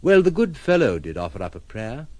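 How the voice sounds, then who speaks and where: An actor in an audio drama playing a detached, world-weary gentleman who speaks in eloquent, formal, ironic phrases. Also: medium pitch, very animated, a little fast, no room sound, close to an everyday voice. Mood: amused, ironic. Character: wry, detached gentleman recluse, playfully philosophical and world-weary.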